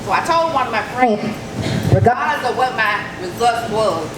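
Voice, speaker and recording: A woman tells her story to an audience in a loud, lively, casual way. Her voice rings through an echoey event hall, and clear background noise runs under it. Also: a little high, moderate pace. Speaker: breast cancer survivor speaking at an event. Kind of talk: personal testimony to an audience.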